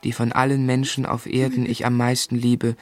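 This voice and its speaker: An actor plays a man recalling his childhood as narrator of a radio play. His voice is mid-pitched, reading literary first-person prose over faint music. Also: monotone, moderate pace, dry recording, close to an everyday voice. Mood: sombre, reflective. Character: adult man recounting his sorrowful childhood memories.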